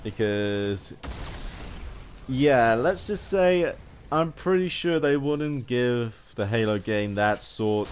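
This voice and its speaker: A British man giving a rambling video game commentary, haltingly, often repeating and rephrasing his phrases as he goes. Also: medium pitch, expressive, a little hiss or hum.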